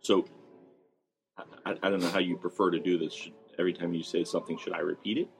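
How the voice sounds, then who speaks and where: Deep-voiced monotone man, dry wit. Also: quick pace, vocal fry, background noise. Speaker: podcast co-host. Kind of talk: casual podcast chat. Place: restaurant.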